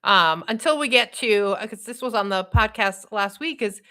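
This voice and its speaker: A woman's voice: medium pitch, moderate pace, sharp tone. A woman hosting a podcast, chatting in an agreeable way with loose, rambling phrasing. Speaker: podcast host. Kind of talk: casual podcast chat.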